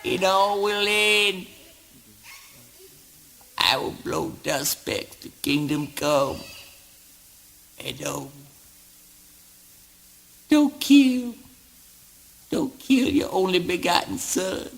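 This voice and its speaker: A man playing an anguished, raving son who pleads with his father in a halting, incantatory spoken word monologue. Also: sits high, lively intonation, gravelly, a bit bright, a little hiss or hum, full character voice. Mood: defiant, anguished.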